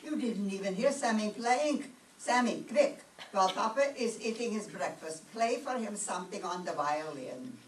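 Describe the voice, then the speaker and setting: A woman's voice: mid-range voice, expressive, normal speed, few pauses, natural voice. Fussy scolding mama played by a woman for vintage radio comedy, immigrant phrasing, roomy faintly noisy stage sound. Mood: bossy, doting. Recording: echoey distant stage recording, faint noise.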